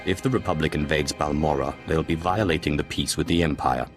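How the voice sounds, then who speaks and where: An actor playing a cold, shrewd Sith sorcerer in a video game, measured, formal and disdainful. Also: male voice, extremely low pitch. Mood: cold, calculating. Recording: loud music under the voice.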